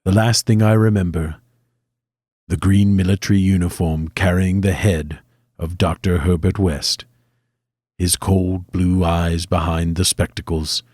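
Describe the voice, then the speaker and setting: An actor playing a grim, haunted survivor, narrating gothic horror audio drama in a grave, dramatic way. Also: male voice, low-pitched, a little mellow, husky, no echo, clean studio sound. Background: faint music.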